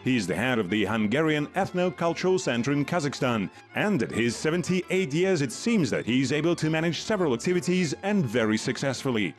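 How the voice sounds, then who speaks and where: A man reading a scripted voice-over for a television documentary in an even, measured way. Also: fairly high pitch. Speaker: English voice-over narrator. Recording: music playing under the voice.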